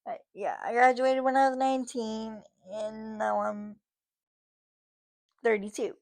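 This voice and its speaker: Woman, 30s, vlogger, casual rambling monologue, slightly high voice, unhurried delivery. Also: little pitch movement, a little mellow. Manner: rambling, many fillers and restarts.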